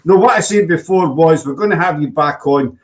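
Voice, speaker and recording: A man's voice: fairly high pitch, brisk. A man with a Glaswegian Scottish accent hosting a casual online chat show, teasing and joking with his guest. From Scotland. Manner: jokey, teasing, uses Scots words. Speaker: podcast host.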